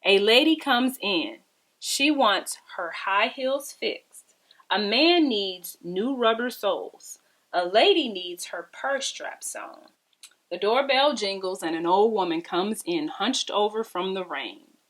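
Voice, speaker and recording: A woman reading a children's story aloud in an expressive, deliberate storytelling style. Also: medium pitch. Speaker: read-aloud storybook reader.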